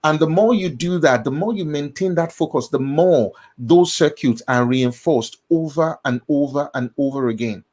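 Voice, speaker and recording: A man's voice: on the high side, normal speed, hardly any pauses, darkish tone. Emphatic Nigerian man explaining. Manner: emphatic, repeats key phrases, checks in with the listener. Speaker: host. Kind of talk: explanatory talk on the brain.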